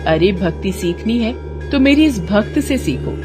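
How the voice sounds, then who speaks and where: A woman performs a righteous mother goddess in a storybook tale, stern and protective of her devotees. She declaims in a commanding, scolding way at a middle pitch. Clear music runs behind her. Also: animated, a little slow, lightly acted. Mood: wrathful.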